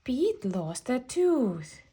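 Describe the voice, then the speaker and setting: A woman reading a children's picture book aloud, telling the story in an expressive, deliberate way for young kids. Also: on the high side, noisy background.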